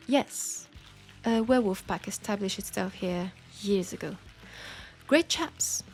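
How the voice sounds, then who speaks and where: A woman's voice: mid-range voice, gravelly, darkish tone. A woman with a French accent playing a teasing, nurturing witch who tames werewolves, chatting playfully and coaxingly to the listener in an audio roleplay. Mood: gentle, reassuring, then mock exasperation. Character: teasing, nurturing female witch, self-styled werewolf tamer.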